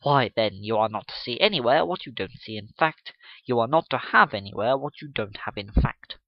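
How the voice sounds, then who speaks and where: A pompous, domineering official of a gentleman, acted out by a male reader, hammering home his points like law. He lectures and questions emphatically as a storybook character, in a dry, close sound that is slightly dull. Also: medium pitch, expressive, a little fast, no echo, natural voice. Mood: challenging. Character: loud, domineering gentleman official obsessed with fact.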